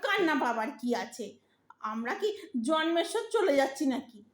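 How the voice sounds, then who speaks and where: A woman's voice: high-pitched, sing-song, moderate pace, echoey room. A woman playing an impatient but loving young married Bengali daughter in an audio drama, pleading and emotional, with a Bengali accent. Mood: impatient, teasing.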